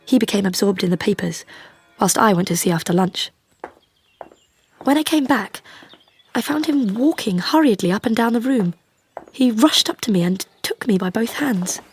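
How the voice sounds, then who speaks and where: An actress playing a composed, devoted young Victorian wife, speaking precisely and formally in a radio drama. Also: female voice, mid-range voice, animated, husky. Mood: reflective. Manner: measured, precise, softly formal.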